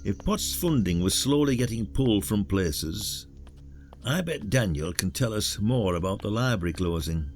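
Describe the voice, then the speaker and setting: An actor as a low-voiced ageing Yorkshire detective. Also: male voice, animated, normal speed, soft background music, close to an everyday voice. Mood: blunt, probing. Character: ageing retired male detective, blunt, working-class Yorkshireman. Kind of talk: podcast audio drama acting.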